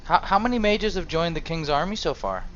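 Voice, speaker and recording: A man's voice: a little high, big pitch swings, a little fast, fairly bright tone. A man narrating a video game role-play and reading out his character's lines in a let's play. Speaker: role-playing gamer and narrator. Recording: dull lo-fi audio, faint noise.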